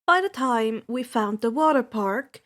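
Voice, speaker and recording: A woman hosting her own channel and reading fan fiction aloud as an expressive, theatrical amateur audiobook narrator. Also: a little high, a little slow. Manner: theatrical, acts out dialogue.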